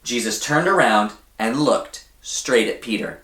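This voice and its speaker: A man reading a children's story aloud in an expressive, deliberate way, often putting questions to his young listeners. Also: mid-range voice, echoey room, heavy background noise. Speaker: church storybook reader for children.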